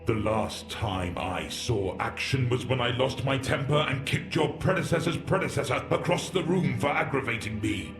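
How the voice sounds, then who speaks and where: A man's voice: mid-range voice, animated, moderate pace, few pauses, close to an everyday voice. A man playing an ancient, bitter warrior entombed in a war machine, speaking in grand, archaic, rambling monologue for a sci-fi audio drama. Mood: irritable. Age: older. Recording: echoing voice over background music.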